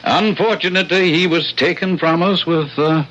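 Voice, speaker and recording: An actor in an old-time radio drama playing a rich, eccentric old landlord, courteous and fussy with a sinister charm. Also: male voice, on the high side, steady tone, normal speed, a bit bright, lightly acted. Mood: chatty. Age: older. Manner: fussy, genteel, theatrical, hesitant. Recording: dull vintage radio recording.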